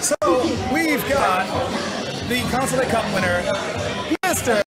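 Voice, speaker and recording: Excited coach guy in his 30s interviewing fighters, high voice, loud crowd and music all around. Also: measured pace. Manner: enthusiastic, hypes the wrestlers up. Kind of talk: post-match interview. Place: large indoor sports hall. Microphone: headset. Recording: noisy hall with crowd and music. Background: crowd chatter and music.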